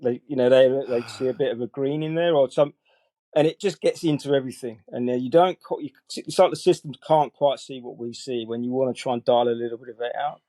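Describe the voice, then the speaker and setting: A man in his forties, a guest on a podcast, talking in a relaxed, rambling way full of fillers and restarts. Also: mid-range voice, fast talker, hardly any pauses, no echo. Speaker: guest colorist. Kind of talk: casual interview chat.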